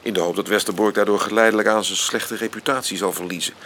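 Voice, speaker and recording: An actor playing an impassioned, intense astronomer in a Dutch radio drama, speaking earnestly and expressively. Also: male voice, on the low side, steady tone, fast, a bit bright, a little hiss or hum. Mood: bitter irony. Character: Max, impassioned, intense astronomer, loyal friend.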